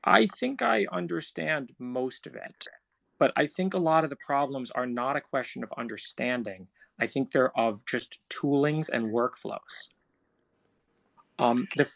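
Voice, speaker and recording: A man's voice: mid-range voice, vocal fry, fairly bright tone, no echo. A man debating technical matters casually, with a blunt, interjecting manner.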